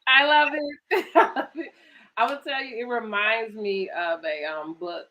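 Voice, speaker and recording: A woman's voice: on the high side, slow pace, bright, forceful tone. A middle-aged woman hosting a virtual author interview on a video call, enthusiastic and casual, laughing often with loose, rambling phrasing. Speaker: host of a virtual author storytime.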